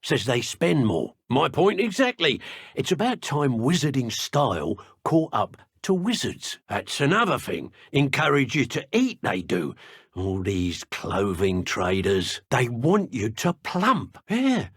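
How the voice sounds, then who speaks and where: A man playing a self-important dark wizard criminal in a video game, haughty, wry and argumentative, with a husky voice. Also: mid-range voice, big pitch swings, measured pace, dry recording, clean studio sound, heavily acted. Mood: grumbling. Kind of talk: video game character voice acting.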